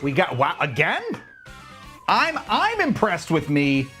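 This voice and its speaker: A man giving casual, joking commentary while he plays a racing game on a livestream, reacting out loud to every turn. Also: on the high side, wildly swooping pitch, slow, faint music behind. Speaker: livestream gamer.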